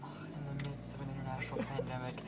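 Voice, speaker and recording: A man's voice: medium pitch, monotone, racing, lightly acted. A young actor playing an exasperated, nervous teenage boy bantering casually in a radio drama. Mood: nervous, mocking. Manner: irritated, slangy. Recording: noisy field recording with ambience.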